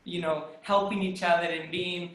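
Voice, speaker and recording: A woman's voice: fairly low pitch, steady tone, moderate pace. Guest: woman, chatty storyteller, roomy echo on her video call. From Argentina. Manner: warm storytelling with frequent ums and soft laughs. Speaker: guest, dancer. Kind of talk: casual interview chat. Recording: echoey room.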